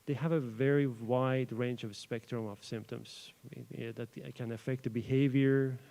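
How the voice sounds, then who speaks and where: A young man from Iran whose first language is Persian, a doctoral researcher giving an informal academic talk to a live audience in an event room, pausing often with fillers and restarts. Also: medium pitch, fairly even intonation, creaky, warm tone. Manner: hesitant, frequent fillers and restarts. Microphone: microphone adjusted at the podium.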